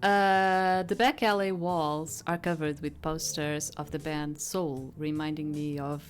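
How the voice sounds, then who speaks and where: Low-pitched woman doing game commentary. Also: fairly even intonation, a little slow. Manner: rambling asides, improvised. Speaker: video game streamer. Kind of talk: let's play commentary, reading game text aloud. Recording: game music behind the voice.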